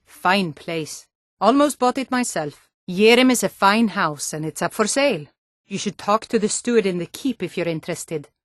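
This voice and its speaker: An actress playing a gruff, wary Nordic female guard and townswoman in a fantasy video game, speaking bluntly with a Nordic accent. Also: medium pitch, no room sound, studio quality, close to an everyday voice.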